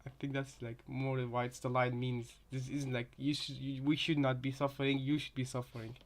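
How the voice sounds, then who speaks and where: A man's voice: medium pitch, flat delivery. Guy doing a casual reaction video, rambles, stops and starts, repeats himself. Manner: rambling, halting, repeats phrases. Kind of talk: casual commentary on game voice lines.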